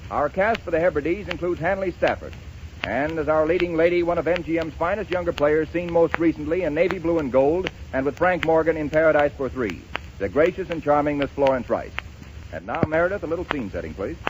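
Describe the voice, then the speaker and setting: A man's voice: on the high side, lively intonation, fairly quick. A man hosting a 1930s radio show in a polished, formal, scripted announcing style. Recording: muffled, noisy 1930s radio broadcast.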